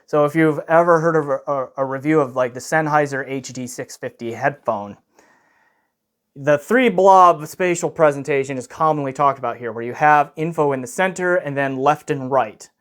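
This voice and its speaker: A man's voice: on the high side, moderate pace. A man reviewing gear casually, explaining things with emphatic stresses and careful qualifications. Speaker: product reviewer.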